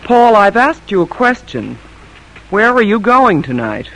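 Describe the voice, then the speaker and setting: A woman's voice: medium pitch, big pitch swings, a little slow, a bit bright, poor audio. An actress playing an anxious, strong-willed, middle-aged colonial wife and mother, speaking with emphatic repetition in an old-time radio drama. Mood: angry, pleading. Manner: emphatic, repeating phrases for weight.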